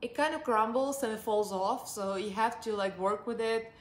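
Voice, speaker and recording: A woman's voice: on the high side, moderate pace, roomy sound. A woman in her forties from Russia with a Russian accent, chatting upbeat through a makeup review from her sofa. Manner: chatty and upbeat, laughs often. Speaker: beauty vlogger. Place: living room, seated on a sofa.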